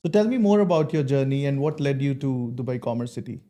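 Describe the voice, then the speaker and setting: A man's voice: medium pitch, lively intonation, quick pace, mellow, faint music behind. A man hosting a business podcast, opening with a polite, scripted welcome and asking questions. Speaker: podcast host. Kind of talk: scripted podcast intro and interviewing.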